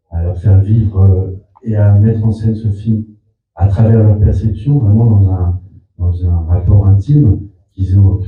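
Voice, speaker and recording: A film director, a man, reflecting slowly in an interview with many pauses and repeated words. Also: low voice. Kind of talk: press interview. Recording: tinny echoey room from far off.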